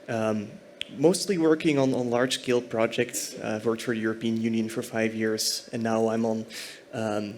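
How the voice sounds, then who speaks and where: A man's voice: lowish voice, fairly even intonation, normal speed, darkish tone. A man from Belgium giving a conference talk as a senior technology architect, explaining fluently.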